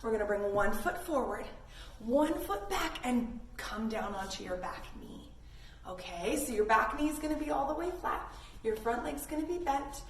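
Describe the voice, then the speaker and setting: A woman's voice: fairly high pitch, lively intonation, moderate pace. A woman leading a playful yoga lesson for children, encouraging and speaking to them directly as friends. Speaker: kids yoga instructor. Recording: roomy echo, distant mic, faint noise.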